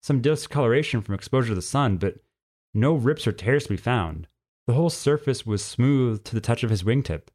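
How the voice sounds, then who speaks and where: A man reading a scripted story as narrator, in an expressive way. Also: mid-range voice, a little fast.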